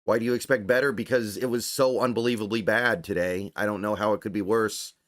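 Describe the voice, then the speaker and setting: A man's voice: fairly low pitch, fairly even intonation, a little fast, fairly bright tone, dry recording. Man with a slightly strained voice, dry sound. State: voice getting strained, starting to go hoarse. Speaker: host of a sports livestream. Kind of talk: casual fan commentary, reading and answering viewer chat.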